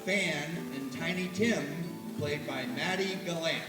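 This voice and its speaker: A man formally announcing cast and crew credits from a stage, listing names in a deliberate, measured way. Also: a little high. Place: theater hall at a stage performance. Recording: echoey hall from far off, loud music, crowd. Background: loud music and audience noise.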